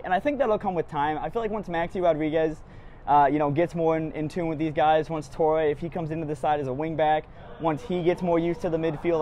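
A man's voice: fairly high pitch, darkish tone, lo-fi sound. A young man giving post-match soccer commentary to camera as a fan vlogger, recorded outside a stadium on a lapel mic. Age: young adult. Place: outdoors on a street outside a stadium. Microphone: small lapel mic clipped to shirt. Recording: outdoor street ambience with clear background noise.

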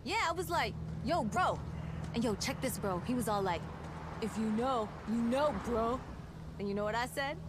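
A woman's voice: on the high side, normal speed, natural voice. An actress playing a brash, playful young woman street racer who tells stories in heavy slang. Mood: amused, boastful. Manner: animated storytelling, heavy slang. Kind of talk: video game voice acting. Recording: noisy ambience with vehicles.

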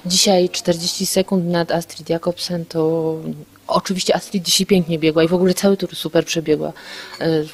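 A young woman athlete in a casual post-race interview, talking candidly and quickly, often restarting sentences and laughing lightly. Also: on the low side, level tone, slightly rough.

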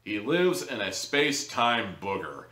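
A man's voice: medium pitch, very animated, a little slow, pushed and bright. A man in his thirties giving a casual, blunt and sarcastic review to camera, sounding exasperated. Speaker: audio drama reviewer, host. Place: living room, indoors. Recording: echoey room, distant microphone.

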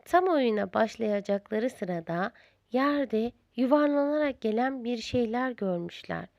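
A woman narrating a children's storybook in a deliberate, expressive storyteller's manner. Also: on the high side, vocal fry, no room sound, muffled recording.

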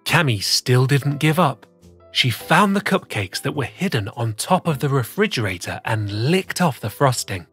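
Picture-book narrator and host: expressive, very lively pitch, husky edge, soft music behind. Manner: expressive, deliberate storytelling for young children. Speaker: children's story reader and host.

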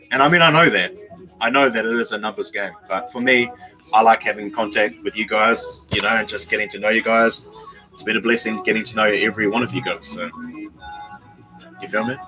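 A relaxed young man talks to his viewers on a stream, his voice low-pitched and bright, with a slangy, easygoing flow. Faint music plays behind him in a small bedroom. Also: low-quality recording. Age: young adult. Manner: laid-back, slangy, calls viewers bro and man. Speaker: YouTuber reading live chat. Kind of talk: casual livestream chat with viewers.

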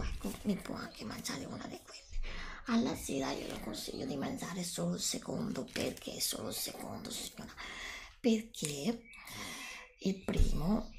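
Middle aged lady doing asmr consultation roleplay, half whispered, super creaky, slow and drawn out. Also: lowish voice. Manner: soft whispering with drawn-out, instructing delivery. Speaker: ASMR creator role-playing a nutritionist.

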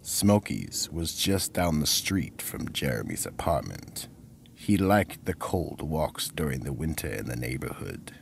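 An extremely deep male narrator with a heavy fry in the voice hosts a radio mystery theatre. He is slow and deliberate, delivering the script with ominous drama. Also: sing-song, slight background noise. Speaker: narrator and horror anthology host.

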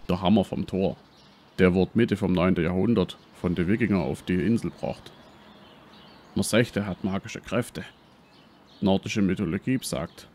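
An actor playing a brash, self-important professor and treasure hunter with a Swabian accent in a parody detective radio play. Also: male voice, deep voice, normal speed, a little mellow, slight background noise, natural voice. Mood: explaining.

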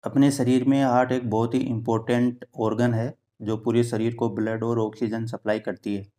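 A man in his thirties, a cardiologist, calmly explaining health tests to camera in a plain indoor room, steadily reading out numbers and ranges. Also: medium pitch, normal speed. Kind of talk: educational health talk to camera. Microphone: camera at close framing, head and shoulders.

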